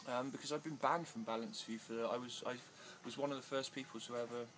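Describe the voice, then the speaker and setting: A man's voice: medium pitch, steady tone, fast, fairly bright tone, soft background music. A man answering interview questions with many fillers and restarts, growing agitated as he talks. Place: outdoors in India.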